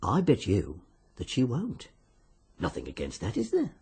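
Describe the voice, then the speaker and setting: Genial British villain in vintage radio mystery: very low, rasping, insinuating, veiled menace. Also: male voice, wildly swooping pitch, mellow, exaggerated voice. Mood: sly, genial. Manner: insinuating, deliberate, euphemistic. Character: sly, genial bookmaker of death wagers, veiled menace. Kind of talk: old-fashioned British radio drama acting. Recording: muffled vintage radio drama recording.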